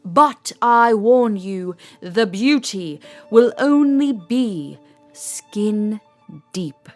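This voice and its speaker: Lady doing a theatrical wizard for an audio play, super slow drawn out words, highish swoopy voice, mysterious and fretful. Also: lively intonation, a little mellow, faint music behind. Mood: sly, ominous. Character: distraught, mysterious male wizard who makes potions. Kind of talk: storybook audio drama acting.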